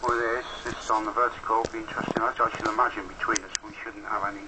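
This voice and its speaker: Casting: male radio ham, low monotone voice, rambling and matter-of-fact, coming through a two-way radio. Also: fast talker. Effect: heard over a two-way radio. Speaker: amateur radio operator. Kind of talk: casual radio contact chat. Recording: noisy two-way radio transmission through a speaker.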